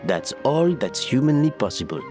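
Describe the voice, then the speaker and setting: An actor playing a reassuring restaurant staff member in an anime game, measured and expressive. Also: mid-range voice, faint music behind, natural voice. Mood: reassuring, firm. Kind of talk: anime game drama acting.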